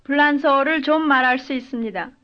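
A woman giving careful, measured full-sentence answers as the model speaker in a recorded language drill. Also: high voice. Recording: dull lo-fi old lesson tape.

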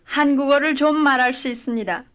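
A woman giving careful, measured full-sentence answers as the model speaker in a recorded language drill. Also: high-pitched. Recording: dull lo-fi old lesson tape.

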